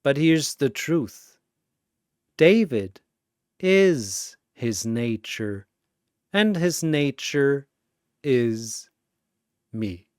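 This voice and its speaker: A man voicing a menacing godlike villain personality in a story, taunting with drawn-out, theatrical delivery. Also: mid-range voice, sing-song, no room sound, studio quality. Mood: gloating menace.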